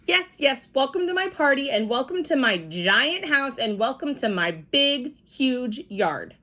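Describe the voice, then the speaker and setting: A spoiled rich little girl, shrill and whiny, her pitch leaping very high and swooping about, as a woman puts her on. She boasts and frets in a storybook reading. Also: sing-song, measured pace, a bit bright, full character voice. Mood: boastful.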